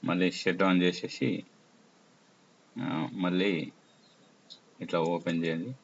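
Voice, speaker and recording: A man presenting an informal app tutorial, explaining step by step in short, halting phrases. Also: low-pitched, little pitch movement, creaky. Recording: muffled lo-fi audio with faint noise.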